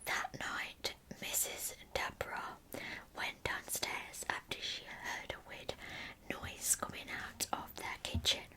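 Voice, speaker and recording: A woman whispering in a breathy, unvoiced ASMR style as she tells a true crime story, soft and deliberate, with chatty asides. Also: low-quality recording.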